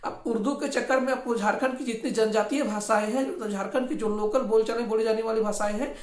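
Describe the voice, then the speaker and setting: A woman's voice: on the high side, fairly even intonation, fast, darkish tone. A woman presenting informal explanatory news commentary in an opinionated, insistent way, repeating her points. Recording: echoey room.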